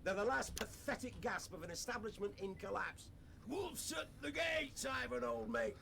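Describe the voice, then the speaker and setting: A brash prime minister, jovial and defiant. His voice runs high and animated. Clear steady noise sits under the recording. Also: normal speed, full character voice. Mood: swaggering. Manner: bluff and jovial, with chummy slang.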